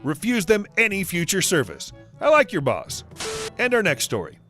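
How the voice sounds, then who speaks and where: A man giving a scripted narration of online stories as the channel's narrator, reading in an even, steady way. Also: high-pitched, normal speed, faint music behind. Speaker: story narrator.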